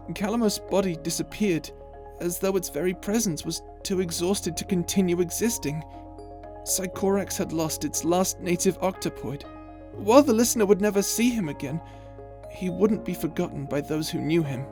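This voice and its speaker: A man's voice: high voice, moderate pace, over music. A man narrating the epilogue of a story from a script.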